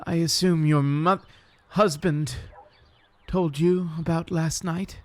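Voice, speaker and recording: An actor playing a stern, accusatory seventeenth-century sheriff in an audio drama, speaking stiffly and formally. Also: male voice, a little high, fairly even intonation. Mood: awkward, formal. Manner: stiff, formal, halting, deliberate. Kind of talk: period audio drama acting.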